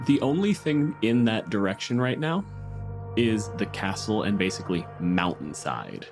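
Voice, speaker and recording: A man with a low voice leads a tabletop roleplaying game. He paints scenes dramatically and deliberately, then slips into casual table talk. Music plays clearly underneath him. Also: very animated. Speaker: game master. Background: clear background music.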